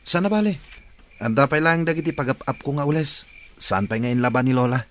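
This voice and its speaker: A man's voice: medium pitch, a little fast, close to an everyday voice. A man acting a sly, wheedling young cousin with an Ilocano accent in a Philippine radio drama. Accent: Filipino, Ilocano.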